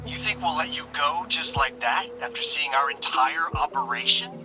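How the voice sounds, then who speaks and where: A man's voice: fairly high pitch, moderate pace, highs cut off, music in the background, natural voice. An actor playing a sly, menacing criminal henchman in a video game, with a mocking manner. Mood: sly menace. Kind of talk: dramatic video game voice acting.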